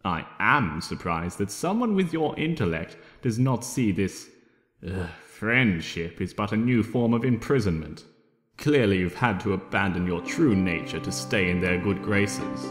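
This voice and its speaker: A man's voice: lowish voice, animated, soft background music, voice pushed down. A man voicing a menacing male monster villain in a storybook reading, scornful, grandiose and disdainful in his speechmaking. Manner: slow, disdainful, rambling speechifying. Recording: roomy, long reverb.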